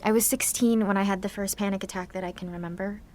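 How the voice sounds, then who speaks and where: A woman's voice: mid-range voice, steady tone, fast, dark tone, close to an everyday voice. A woman playing a sarcastic, anxious seventeen-year-old supervillain, speaking theatrically in an audio drama monologue. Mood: anxious, confessional.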